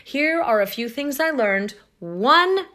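An actress playing an exasperated, confiding woman in a comic first-person story monologue, with wry emphasis and dramatic pauses. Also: sits high, expressive, bright, forceful tone, full character voice. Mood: wry, tense, then wry, instructive. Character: exasperated, wryly confiding woman plagued by monsters and heartbreak. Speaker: actress reading a short story.

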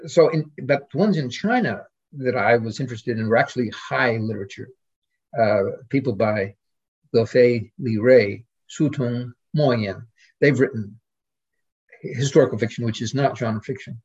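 A man speaking as a guest scholar in an informal academic discussion, in a hesitant, digressive manner with a husky voice. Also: medium pitch, moderate pace, a little mellow, muffled recording.

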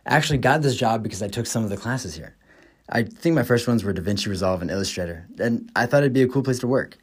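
A young man in his twenties hosts a podcast, reading a scripted monologue in an upbeat, enthusiastic way. His voice sits a little low for a man. He is from the United States. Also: fast talker, husky. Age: 20s. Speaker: podcast host.

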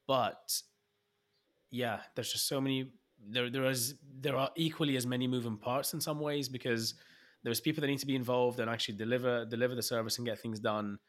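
A man's voice: mid-range voice, steady tone, a little fast. Chatty male podcast host. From Egypt. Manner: chatty, hesitant, with many restarts and fillers. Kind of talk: casual interview chat.

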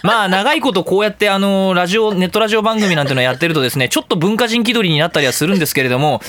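A man's voice: on the high side, a bit bright. A middle-aged man hosting an internet radio show, chatting casually and comically in a geeky ramble and acting out quotes as he goes.

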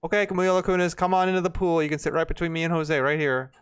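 A man's voice: on the high side, steady tone, quick pace, smooth. A man in his twenties hosting a casual livestream with informal, teasing banter. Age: 20s. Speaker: show host.

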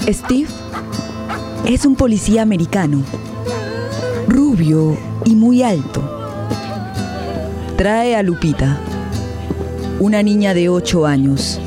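An actress playing a grave narrator in a tragic radio play, solemn and measured in her descriptions. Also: medium pitch, expressive, music in the background. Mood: grave, tense.

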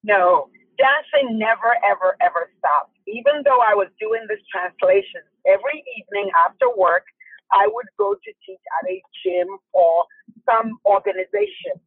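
A woman from Ghana, telling her story as an animated interview guest, her pitch jumping high often. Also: moderate pace, creaky, breathy edge, no room sound. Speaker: guest, fitness founder and dance instructor. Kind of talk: casual interview chat. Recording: tinny phone line, dry.

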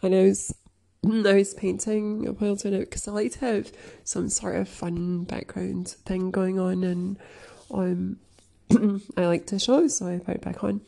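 Sniffly woman, slow and gravelly. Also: medium pitch, flat delivery, mellow. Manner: halting, many fillers, keeps clearing throat. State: sniffly from crying. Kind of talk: casual rambling chat.

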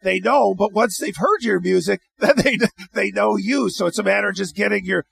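Gravelly, halting male radio host. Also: high-pitched, a bit bright. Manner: halting, rambling questions with many restarts. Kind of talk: interviewing a guest.

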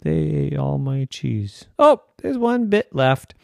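A man voicing a grumpy human who loudly complains about missing cheese. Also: on the high side, lively intonation, slow pace, gravelly, no room sound, clean studio sound, voice pushed up, exaggerated voice. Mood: indignant. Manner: loud, indignant.

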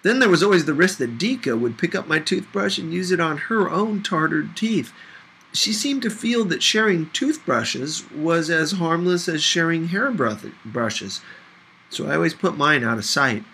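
Male audiobook narrator, bright forward tone, expressive novel reading, slight background noise. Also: fairly high pitch, moderate pace, poor audio. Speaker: audiobook reader.

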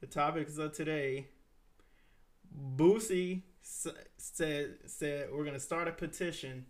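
A man hosting a podcast in casual, animated chat, swearing freely and laughing often. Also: a little high, slow. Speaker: podcast host.

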